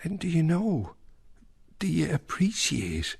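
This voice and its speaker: An actor playing an intense Irish piper in a radio drama, asking earnest, probing questions in a confiding tone. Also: male voice, on the high side, big pitch swings, takes it slowly, vocal fry, breathy edge, heavily acted. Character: Captain Martin, older Irish piper, intense and secretive.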